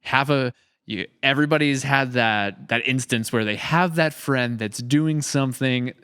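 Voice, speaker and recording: A man's voice: medium pitch, measured pace, fairly bright tone, smooth, dry recording, clean studio sound. A man hosting a casual podcast interview, wordy and thinking aloud as he phrases things. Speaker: podcast host, series creator.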